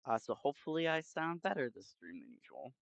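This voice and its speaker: A man chatting casually and haltingly as he hosts a livestream, speaking into a headset mic. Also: medium pitch, wildly swooping pitch, no echo, lo-fi sound. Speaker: game streamer, host. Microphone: new headset microphone.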